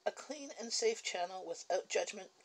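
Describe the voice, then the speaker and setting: A woman's voice: medium pitch, lively intonation, moderate pace. A Canadian woman with a Canadian English accent chatting casually at home in her kitchen on a livestream, reading viewer comments aloud, answering them and laughing between remarks. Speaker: livestream host.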